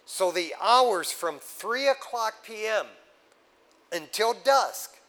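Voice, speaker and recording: Animated male preacher teaching scripture. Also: high voice, takes it slowly. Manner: animated teaching with asides to the congregation. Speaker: pastor. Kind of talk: sermon.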